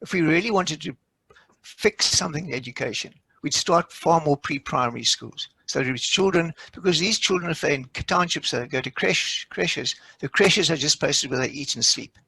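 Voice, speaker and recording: Gravelly, bright-voiced South African man. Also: fairly high pitch, normal speed, no room sound, low-quality recording. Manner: anecdotal, explanatory. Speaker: education expert panelist. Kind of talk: panel discussion.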